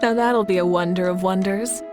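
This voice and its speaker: A woman's voice: medium pitch, steady tone, moderate pace, lightly acted. A woman playing a confident, composed leader in a video game, speaking in an assured, articulate, wryly teasing way. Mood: amused. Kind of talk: video game character dialogue. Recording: faint music behind the voice.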